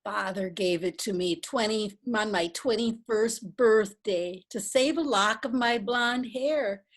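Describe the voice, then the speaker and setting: A woman's voice: mid-range voice, takes it slowly. A woman reading the part of a kindly, flustered old lady who knits and rambles through her story. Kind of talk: readers theatre reading.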